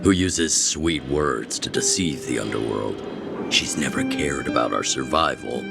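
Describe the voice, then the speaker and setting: A man playing a gruff, composed resistance chief in a game cutscene, measured and wry, blunt but courteous. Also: rumbling low, animated, exaggerated voice. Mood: grave. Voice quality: gravelly. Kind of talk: dramatic game cutscene acting. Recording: loud music behind. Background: loud background music.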